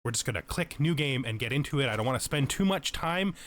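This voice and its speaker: A man's voice: medium pitch, animated, quick pace, fairly bright tone. A man giving explanatory let's play commentary as a tutorial host, methodical and thorough in how he explains things. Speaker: game tutorial host, player.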